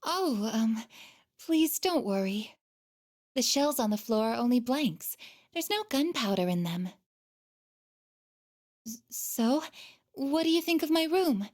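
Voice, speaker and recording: Game role: gentle female android, tender and hesitant, very unhurried, lightly raised pitch, earnest devotion. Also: lively intonation. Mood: hesitant, sincere. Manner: soft, hesitant, deliberate, tender. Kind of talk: video game voice acting, emotional dialogue.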